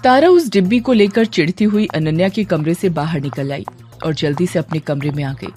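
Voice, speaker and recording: A woman's voice: lowish voice, expressive, moderate pace, a little mellow. A woman narrating a scripted horror audio story in a dramatic storytelling style. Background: music under the voice.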